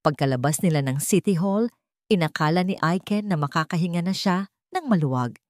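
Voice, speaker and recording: A woman's voice: fairly low pitch, animated, fairly quick, a little mellow, dry recording, studio quality. A woman storyteller giving a scripted, dramatic narration of a romance story.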